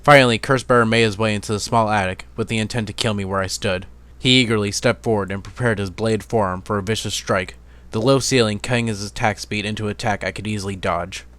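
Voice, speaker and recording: A man with a fairly low, smooth voice reads a fantasy story aloud as an amateur narrator. It is a scripted dramatic reading, recorded dry with no room sound. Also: fairly even intonation, quick pace, fairly bright tone, dry recording.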